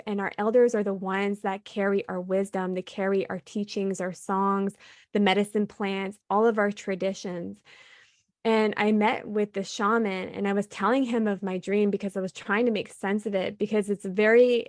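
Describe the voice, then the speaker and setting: Woman from Canada, guest storyteller, earnest and reflective, mid pitch, dry close mic. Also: no echo. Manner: earnest, reflective, with frequent "like" fillers. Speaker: podcast guest. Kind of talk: personal storytelling interview.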